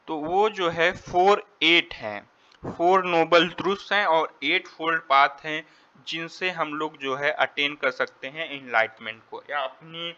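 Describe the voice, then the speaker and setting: Bright-voiced Indian man tutoring, slightly dull recording. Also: a little high. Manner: repeats and rephrases, switches between Hindi and English. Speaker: exam tutor. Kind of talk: quiz lesson, reading questions and explaining answers.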